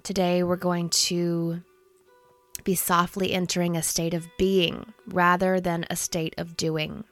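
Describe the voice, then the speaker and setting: A woman in her thirties leading a guided meditation in a soothing, gentle, unhurried manner. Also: fairly low pitch, flat delivery, soft background music. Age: 30s.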